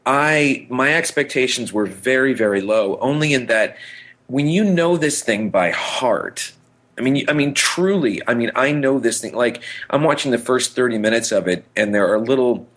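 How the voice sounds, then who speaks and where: A man's voice: mid-range voice, lively intonation, moderate pace, fairly bright tone. A man co-hosting a podcast in casual fan discussion, speaking emphatically and repeating phrases for stress. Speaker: podcast co-host. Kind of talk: casual film discussion between fans.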